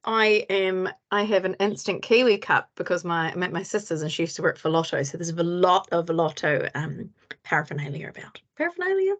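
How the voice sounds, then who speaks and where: Woman meeting host, mid pitch, chatty, laughs easily, video call. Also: lively intonation, a little fast. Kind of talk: casual chat and hosting an online meeting.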